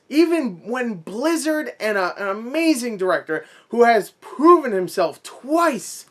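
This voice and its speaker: Man, slightly high voice, YouTube movie reviewer, casual off-the-cuff rant, opinionated, repeats points for emphasis. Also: fairly bright tone. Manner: conversational and opinionated, with emphatic repetition.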